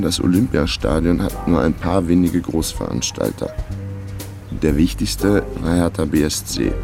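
A man's voice: extremely low pitch, creaky, full character voice. An actor playing a laconic investigator who narrates his own case dryly in a radio crime drama. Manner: dry, laconic. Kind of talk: scripted first-person radio drama narration.

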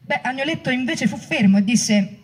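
A woman's voice: sits high, animated, normal speed, husky. A woman performer giving a dramatic reading of first-person testimony. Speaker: performer reading book extracts.

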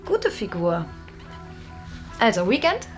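A woman's voice: medium pitch, wildly swooping pitch, slow pace, faint music behind. An actress playing a shrewd, wry and gossipy female deputy boss in natural radio drama dialogue. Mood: gossipy, teasing.

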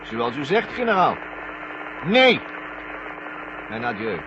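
Smug astronaut villain, humming recording. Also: male voice, medium pitch, very animated, takes it slowly, noisy background. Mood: scornful. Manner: mocking, condescending, taunting. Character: Bradford, smug scornful traitor astronaut, adult man. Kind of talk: 1970 Dutch radio drama acting. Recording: muffled, humming 1970 radio recording.

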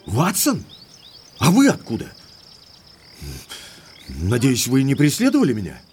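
An actor playing an indignant, lovestruck young English baronet in an audio drama, speaking emphatically like an exasperated gentleman. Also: mid-range voice, huge pitch swings, unhurried, faint music behind. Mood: indignant, sardonic.

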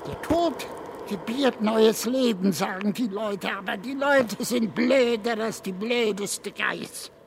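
A morbid old goatherd near death in a radio play. His thin, frail voice creaks heavily and moves very slowly, brooding and fatalistic. Clear background noise surrounds him. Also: sits high, full character voice. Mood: grim, brooding. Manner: slow, brooding, ominous. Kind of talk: radio drama acting.

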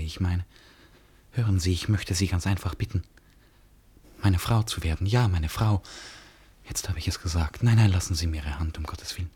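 An actor playing an intense, restless stranger in a radio play, speaking in an urgent, pleading way and often repeating himself. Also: male voice, low-pitched, darkish tone, breathy edge. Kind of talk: German radio play acting.

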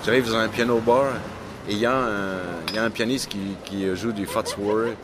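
A man's voice: lowish voice, steady tone, a little fast, background noise. A man from France chatting casually outdoors, hesitant and curious. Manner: hesitant, many fillers and restarts, curious. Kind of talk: casual street conversation. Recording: clear crowd behind.

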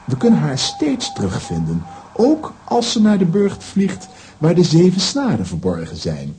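Swooping, pedantic professor. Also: male voice, a little high, huge pitch swings, measured pace, a little mellow, soft background music, heavily acted. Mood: proud explaining. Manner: theatrical, pedantic, swooping intonation. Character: learned male professor, coaxing, proud of his science. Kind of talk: children's radio play acting.

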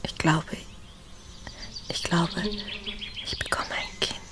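An actress playing a tender, anxious well-bred lady in a radio drama, speaking softly and earnestly. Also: slow pace, in a whisper, natural voice. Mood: hushed, anxious.

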